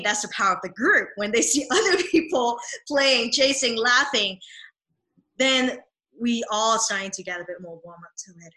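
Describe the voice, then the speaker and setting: A woman's voice: mid-range voice, pushed and bright, rough recording. A woman, a dance therapist speaking as a guest in an interview, earnest and enthusiastic, with many fillers and restarts. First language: Cantonese.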